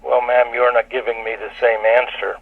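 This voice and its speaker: A man speaking as an attorney on a telephone conference call, formal and measured as he puts procedural questions. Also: mid-range voice, flat delivery, creaky, a little hiss or hum. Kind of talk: formal legal phone discussion. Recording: muffled, dull telephone line.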